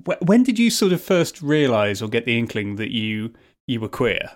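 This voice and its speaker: Measured male podcast host. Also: medium pitch, sing-song. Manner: friendly, measured, scripted intro then chat. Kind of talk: podcast interview.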